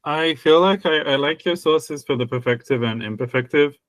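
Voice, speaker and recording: A man's voice: fairly high pitch, normal speed, fairly bright tone, no room sound. A man co-hosting a podcast, chatting casually about language, rambling with many restarts and laughing at himself. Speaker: podcast co-host.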